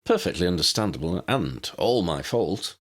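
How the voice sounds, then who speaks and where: A man's voice: deep voice, big pitch swings, moderate pace, fairly bright tone, full character voice. A man voicing a polite young wizard who leads his team in a storybook tale. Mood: apologetic.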